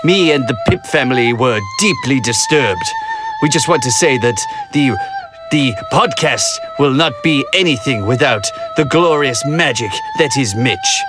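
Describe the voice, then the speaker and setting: A man's voice: on the high side, wildly swooping pitch, a little slow. A man delivering a mock-solemn comic farewell in a grandiose, theatrical manner. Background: prominent music.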